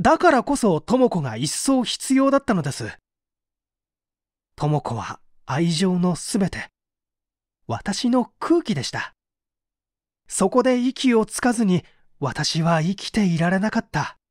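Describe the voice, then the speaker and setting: A man's voice: sits high, very animated, a little slow, long pauses, no echo, clean studio sound, full character voice. A man playing an anguished painter confessing to an interrogator in a polite, emotive radio-drama reading. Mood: pleading, intense. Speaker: narrator and reader.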